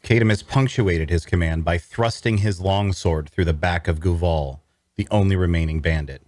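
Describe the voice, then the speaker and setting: A low-pitched, closely recorded man performing as a wry necromancer storyteller. He narrates a dark fantasy audio drama in dramatic first person, sounding queasy and sardonic. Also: normal speed, dry recording, natural voice. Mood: grave narrating. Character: wry, queasy male necromancer telling his own tale.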